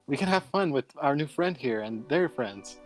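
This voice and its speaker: A man puts on a small, mischievous spellcaster for a tabletop fantasy game. The voice is mid-pitched, cheeky and brazen, quick to argue. Music plays behind. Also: animated, close to an everyday voice. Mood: playful.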